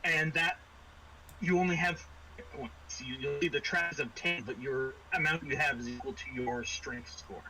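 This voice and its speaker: A man's voice: mid-range voice, normal speed. A man narrating and refereeing a tabletop roleplaying game as game master. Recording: noisy room recording.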